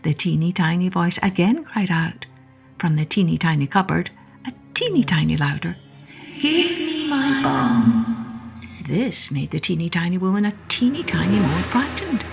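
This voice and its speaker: A woman narrating a children's story in an expressive, deliberate storyteller's manner. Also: on the low side, creaky, faint music behind. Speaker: storyteller, podcast host.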